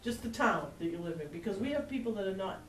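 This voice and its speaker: A woman chairing a town board meeting, friendly and brisk. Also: mid-range voice, animated, fairly bright tone, recorded from a distance. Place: town hall meeting room. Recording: roomy hall audio from afar, background noise.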